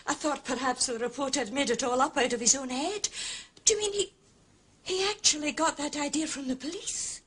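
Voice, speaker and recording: An actress playing an anxious, genteel widow in a period drama, halting and pleading as she confides. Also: high voice, fairly bright tone. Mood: shocked, pressing. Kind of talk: British television period drama acting.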